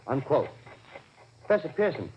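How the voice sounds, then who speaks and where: Actor as earnest 1930s radio reporter, muffled recording. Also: male voice, medium pitch, very animated, slight background noise, close to an everyday voice. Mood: formal, measured. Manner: formal announcing with hesitant, searching pauses. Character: earnest, flustered male radio news reporter on location. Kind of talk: 1930s live radio news drama.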